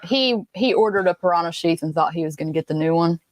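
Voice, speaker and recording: A woman's voice: lowish voice, fast, slight background noise. Woman reading viewer comments on a livestream. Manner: relays viewer questions and answers them. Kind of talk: casual live chat.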